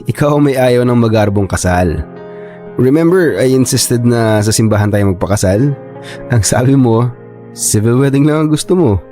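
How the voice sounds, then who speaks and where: A man acting as an affectionate newlywed Filipino husband, gently correcting. His voice is mellow and mid-pitched with a Filipino accent, played for radio drama. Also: normal speed, faint music behind, close to an everyday voice. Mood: teasing.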